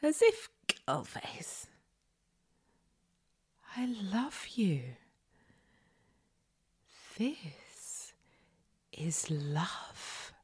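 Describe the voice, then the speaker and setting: Woman as obsessive, sadistic mistress; breathy whispers, drawn-out delivery, lively rising and falling pitch. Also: full character voice. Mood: possessive, menacing. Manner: breathy whispering, sighs and laughs. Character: possessive, sadistic dominant woman, obsessive and mocking. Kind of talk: audio roleplay.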